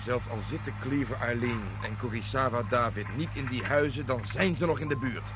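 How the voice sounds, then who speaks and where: An actor playing a brisk military officer who reports and gives orders in a clipped, commanding way in a radio drama. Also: male voice, mid-range voice, heavy background noise, close to an everyday voice.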